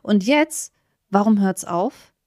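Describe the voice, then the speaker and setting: A woman's voice: medium pitch, expressive, slow pace, a little mellow, dry recording. Chatty woman, close dry recording. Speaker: podcast co-host. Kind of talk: casual humorous chat.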